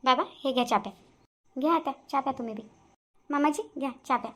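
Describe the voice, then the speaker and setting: A woman's voice: high voice, fast talker, a bit bright. A woman voicing an earnest, easily hurt young daughter-in-law in a cartoon family drama, with a Varhadi Marathi accent. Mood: caring. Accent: Varhadi (Vidarbha) Marathi.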